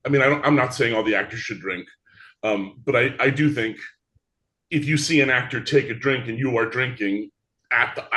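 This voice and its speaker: A man's voice: mid-range voice, a bit bright. A man talking casually and reflectively as a guest in an interview about his creative work.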